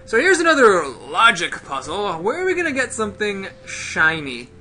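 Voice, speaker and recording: A man's voice: high voice, wildly swooping pitch, moderate pace, bright, forceful tone, soft background music. A man hosting a casual game play-through, explaining things step by step to his viewers.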